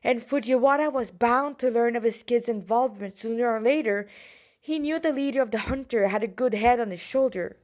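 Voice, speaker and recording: A woman reads her own written story aloud in an informal, amateur manner. Her delivery is halting, with stumbles over words and quick self-corrections, and she slips between narration and casual remarks of her own. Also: a little high, a little mellow. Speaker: fan fiction writer reading own story.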